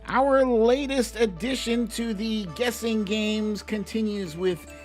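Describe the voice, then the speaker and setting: A man's voice: high voice, steady tone, slow pace, darkish tone, faint music behind. A middle-aged American man chatting casually and playfully with viewers as host of a livestream. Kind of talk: casual live chat with viewers. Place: home studio.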